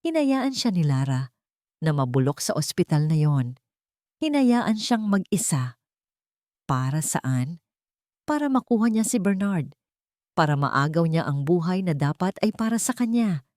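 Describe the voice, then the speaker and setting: A woman's voice: lowish voice, sing-song, unhurried, pauses often, a little mellow, dry recording, clean studio sound. A woman narrating a dramatic story in an emphatic, suspenseful way, often in short broken phrases. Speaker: audiobook narrator.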